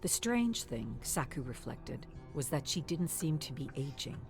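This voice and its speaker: Very low-voiced woman narrating dark fantasy. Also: sing-song, a little slow, gravelly, music in the background.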